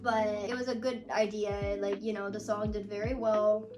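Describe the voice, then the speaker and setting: A woman's voice: mid-range voice, little pitch movement, moderate pace. A young woman, a songwriter, chatting casually and rambling with lots of "like" and small laughs. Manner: rambling, full of "like" and little laughs. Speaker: songwriter answering fan questions. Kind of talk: casual chat. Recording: guitar music playing under the voice. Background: guitar music.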